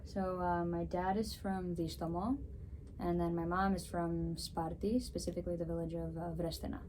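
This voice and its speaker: Young woman guest, Greek first language, upbeat and chatty, slightly low voice. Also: flat delivery, moderate pace, darkish tone, slight background noise. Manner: upbeat, chatty, frequent "so, yeah" wrap-ups. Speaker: guest, figure skater and musician. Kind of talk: casual interview answers.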